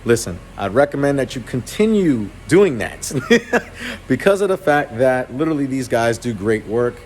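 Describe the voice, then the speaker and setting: A man in his thirties talks through a car build in a relaxed, off-the-cuff way, stopping to correct himself as he goes. His voice sits at an ordinary middle pitch, and a faint hum of shop noise runs behind him indoors. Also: moderate pace. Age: 30s. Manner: casual, with restarts and self-corrections. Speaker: car channel host. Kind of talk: casual shop-floor vlog. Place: auto repair shop, indoors.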